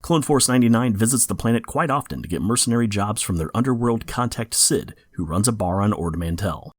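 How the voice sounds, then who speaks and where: A man's voice: on the low side, animated, a little fast, breathy edge. A man hosting a podcast in scripted, informative narration. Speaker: podcast host.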